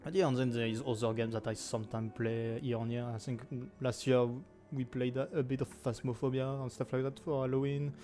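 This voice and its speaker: A man in his twenties with a heavy French accent talks casually and loosely, full of fillers and small restarts. His voice sits mid-pitched with a mellow, darkish tone. Faint music plays softly behind him. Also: little pitch movement, a little fast, hardly any pauses. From France. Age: 20s. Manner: rambling, many fillers and self-corrections, frequent laughs. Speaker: gaming YouTuber. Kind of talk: casual anniversary chat to camera.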